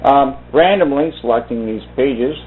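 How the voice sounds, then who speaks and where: A man's voice: mid-range voice, normal speed, slight background noise. Muffled, phone-like man reading aloud. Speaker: video host reading from a book. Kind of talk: slow reading aloud and informal commentary. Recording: muffled phone-like narrow-band sound.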